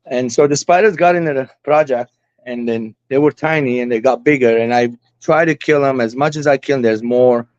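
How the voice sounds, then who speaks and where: Chatty Armenian-Lebanese guest in his forties, middle pitch, dry dull lo-fi audio. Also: moderate pace, darkish tone, no room sound. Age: 40s. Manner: rambling, talkative, chatty. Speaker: guest hobbyist. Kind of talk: casual chat.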